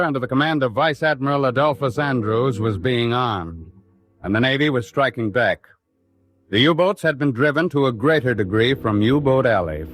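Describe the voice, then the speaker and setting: A man delivering measured, formal narration in a dramatic documentary style. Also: mid-range voice.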